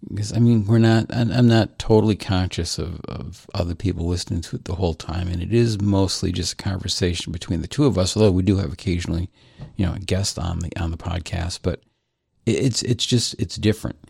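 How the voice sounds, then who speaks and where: A man's voice: low-pitched, brisk, creaky, a little mellow, breathy edge, no room sound. A man co-hosting a podcast in casual chat, rambling and self-deprecating with frequent restarts, close on a dynamic mic at home. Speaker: podcast co-host. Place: home studio, recorded locally over Skype. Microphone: large-diaphragm dynamic mic, close.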